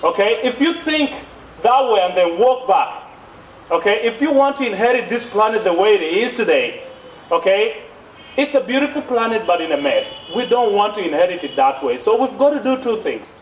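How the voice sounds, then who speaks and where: Young east african guy speaking at a podium, high voice, emphatic, echoey and a bit far from the mic. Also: animated, normal speed. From born in South Sudan, grew up in Kenya. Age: young adult. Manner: emphatic, repeats phrases, rhetorical questions. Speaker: youth speaker at a conference plenary. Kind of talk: public speech, impassioned advocacy. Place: outdoor stage under trees at a podium. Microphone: thin gooseneck podium microphone. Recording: echoey, distant podium mic with background noise.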